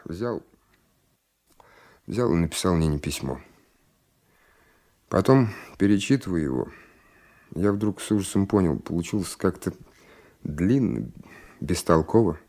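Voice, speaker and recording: A man's voice: low-pitched, animated, a little slow, long pauses, darkish tone, exaggerated voice. An actor playing an earnest, eager young naval lieutenant in a radio play, at times narrating his own story reflectively. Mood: reflective, hesitant. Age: young adult.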